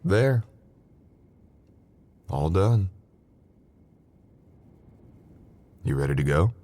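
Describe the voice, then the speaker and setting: Man playing a very deep, roguish sorcerer boyfriend. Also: animated, slow pace, mellow. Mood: playful. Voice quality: very deep, gravelly.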